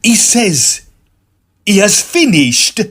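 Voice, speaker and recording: A woman's voice: mid-range voice, big pitch swings, extremely slow, a bit bright, slightly rough, heavily acted. A woman playing a formal, earnest interpreter in a period audio drama aboard a train. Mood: formal, awed.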